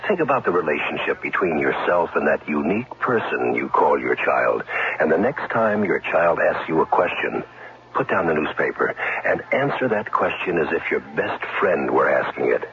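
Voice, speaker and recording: Male PSA announcer: very low, earnest, varied intonation, dulled vintage radio sound. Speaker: public service announcer. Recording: dull vintage radio recording.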